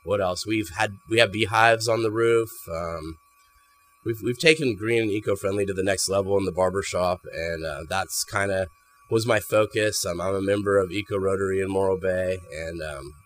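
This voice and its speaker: A man speaks in a somewhat low voice, answering an interviewer in a small barbershop. He talks easily, restarting and repeating words as he goes. A faint hum sits under the handheld mic. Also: moderate pace. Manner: relaxed, repeats words while thinking. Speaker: barbershop owner. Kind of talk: casual interview. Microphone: handheld mic held by interviewer.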